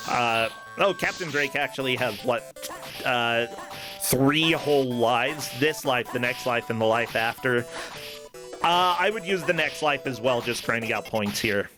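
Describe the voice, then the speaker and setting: A man's voice: medium pitch, a little slow. A man giving enthusiastic, conversational live commentary on a gaming tournament. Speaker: esports commentator. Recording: game music behind the voice.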